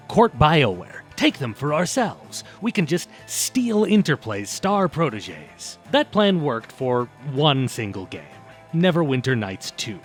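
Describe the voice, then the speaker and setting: A man hosts a scripted video essay with a highly animated, swooping delivery. His phrasing is wry and emphatic, slipping from narration into sardonic casual asides. Music plays behind his mid-pitched voice.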